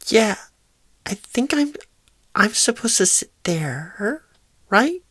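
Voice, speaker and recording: A woman playing a painfully shy, nervous rookie job recruiter in an ASMR roleplay, halting, stammering and apologetic. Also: medium pitch, sing-song, fairly bright tone, dry recording, exaggerated voice. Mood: flustered, timid.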